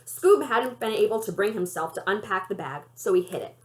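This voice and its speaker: A woman reading a children's novel aloud in an expressive storytelling style. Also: medium pitch, a little fast. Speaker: library read-aloud reader.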